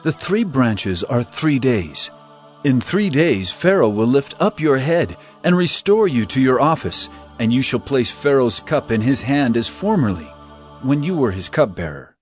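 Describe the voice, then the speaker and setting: A man playing an upright, devout young Hebrew servant in a dramatized scripture reading, speaking in an earnest, measured way. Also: mid-range voice, sing-song, soft background music. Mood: earnest, gentle.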